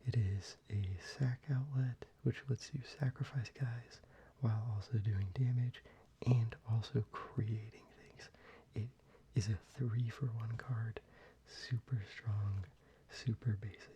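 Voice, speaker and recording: Slow, very dark-toned ASMR whisper. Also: mid-range voice, fairly even intonation, muffled recording, slight background noise. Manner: soft, close whisper with frequent ums and restarts. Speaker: ASMR creator showing a card collection.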